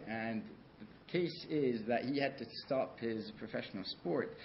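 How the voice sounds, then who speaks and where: A surgeon from Switzerland speaks from a podium in a large conference hall, relaxed and chatty, full of small fillers. The sound is dull and slightly muffled, with a faint hiss behind him. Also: male voice, mid-range voice. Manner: conversational, many fillers and restarts. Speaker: orthopedic surgeon. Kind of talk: conference talk with slides. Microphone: podium microphone.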